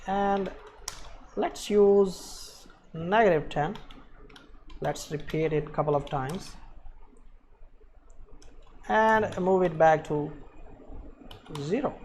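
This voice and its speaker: Man, coding tutorial presenter, very slow halting delivery, slightly high pitch, keyboard typing and faint noise behind. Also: darkish tone. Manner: halting, thinks aloud while working. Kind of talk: unscripted screencast coding tutorial.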